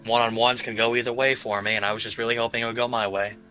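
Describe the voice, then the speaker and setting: Bright-voiced man in casual gamer chat. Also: mid-range voice, flat delivery, racing. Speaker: player in a tabletop roleplaying game. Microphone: remote voice call microphone. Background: background guitar music.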